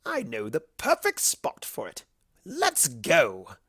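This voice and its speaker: A woman's voice: rumbling low, wildly swooping pitch, takes it slowly, fairly bright tone, slightly rough, no echo, studio quality, exaggerated voice. A woman voicing a mischievous, boastful male cartoon chaos creature in a smug, cheeky, theatrical manner. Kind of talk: fan fiction storytelling.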